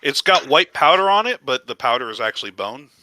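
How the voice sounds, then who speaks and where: A man running an online tabletop game as game master, relaxed and joking, often laughing. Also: medium pitch, a little fast, slight background noise.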